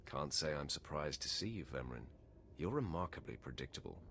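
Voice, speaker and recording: A man playing a cold, condescending Sith warrior in a video game, measured and haughty in his disdain. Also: rumbling low, animated, creaky, background noise, full character voice. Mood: cold contempt.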